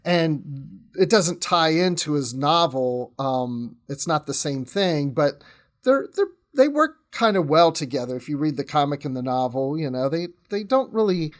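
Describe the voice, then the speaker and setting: A man in a casual podcast discussion, his voice sitting a touch higher than most men's. He speaks conversationally, working out his points aloud as a guest in the chat. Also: lively intonation, a little slow.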